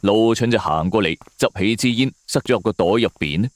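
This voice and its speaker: A man's voice: fairly low pitch, brisk, no echo. A man narrating a scripted suspense story in a dramatic storytelling manner.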